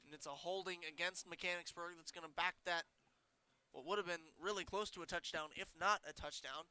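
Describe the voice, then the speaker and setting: A young man calling high school football play-by-play, a little congested and nasal, getting quick and excited on big plays. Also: clean tone, no room sound. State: congested, says he sounds nasal. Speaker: play-by-play announcer.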